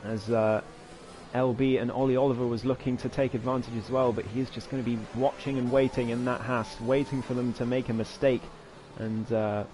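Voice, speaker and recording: A hoarse man giving live commentary on an online racing league, his voice climbing excitedly through each overtake. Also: medium pitch, normal speed, a little hiss or hum. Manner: rising excitedly during overtakes, chatty asides. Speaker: race commentator.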